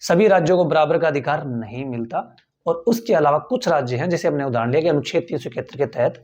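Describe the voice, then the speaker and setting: A man's voice: on the high side, fast, a little mellow. A male teacher giving a tenth-grade civics lecture, repeating key points and asking the class rhetorical questions.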